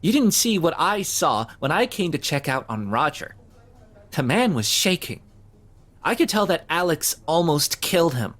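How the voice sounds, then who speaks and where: A man's voice: on the high side, big pitch swings, moderate pace, a bit bright. An actor playing an anxious, down-on-his-luck young man who stammers and hesitates in an audio drama. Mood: insistent.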